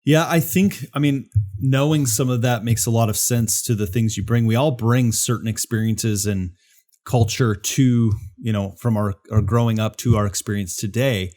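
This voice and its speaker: A man's voice: medium pitch, normal speed, darkish tone. A man hosting a podcast, asking curious, rambling questions with restarts. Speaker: podcast host. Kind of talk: interview questions, casual.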